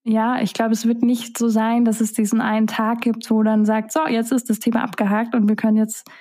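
A young German-speaking woman in her twenties talks through her thoughts in a relaxed, mellow voice. Her pitch is on the higher side but stays fairly flat, and she pauses often with fillers as she muses. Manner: relaxed, musing, many fillers. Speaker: singer-songwriter guest. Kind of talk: casual interview talk.

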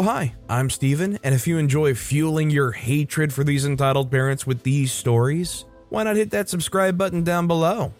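A man reads a story aloud in a steady, scripted way, dropping in dry asides. His voice sits at a middle pitch, and soft music plays underneath. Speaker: channel narrator.

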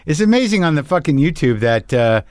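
A man in his late fifties from New Mexico, hosting a podcast, asking eager questions with lots of quick affirmations. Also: on the high side, very animated, darkish tone, clean tone, no room sound, clean studio sound. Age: 50s. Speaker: podcast host. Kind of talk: casual interview chat.

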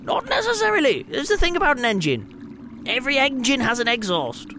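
Wry, high-voiced sarcastic man. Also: wildly swooping pitch, a little fast, noisy background. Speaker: tabletop role-play player. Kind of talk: improvised in-character banter.

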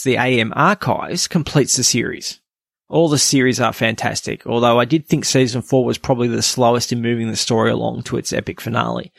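A man's voice: medium pitch, gravelly, no room sound, studio quality. A man hosting a podcast, delivering a scripted review narration. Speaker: podcast host reviewing audio dramas.

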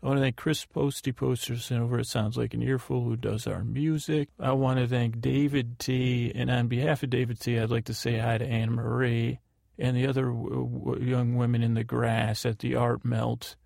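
A man hosting a sleep podcast, rambling through housekeeping in a halting way and often correcting himself. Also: medium pitch, little pitch movement, creaky, darkish tone, no echo, studio quality. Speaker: podcast host.

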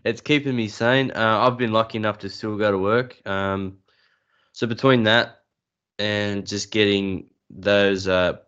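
A man's voice: on the low side, steady tone, unhurried, a bit bright, smooth, muffled recording. An Australian man being interviewed on a podcast, talking casually with dry, self-deprecating humour. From New South Wales, Australia. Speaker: podcast guest.

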